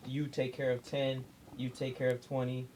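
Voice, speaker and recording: A man's voice: mid-range voice, completely flat delivery, moderate pace, noisy background. A man co-hosting a podcast in casual group chat, earnest and repeating himself, swearing freely. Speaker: podcast co-host.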